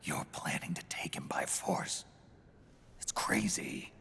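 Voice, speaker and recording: An actor playing a gruff, weary aged soldier in a video game, with a very gravelly, breathy growl and a terse, skeptical manner. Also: male voice, slow, bright, forceful tone, whispered. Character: gruff, weary, prematurely aged veteran soldier on covert mission. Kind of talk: video game cutscene acting.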